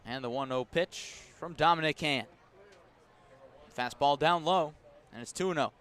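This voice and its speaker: Male baseball radio announcer, slightly high-pitched voice, descriptive pitch-by-pitch calling. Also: measured pace, clear, resonant tone. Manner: descriptive, storytelling between pitches, rising to excited calls on big plays. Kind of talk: live radio baseball commentary.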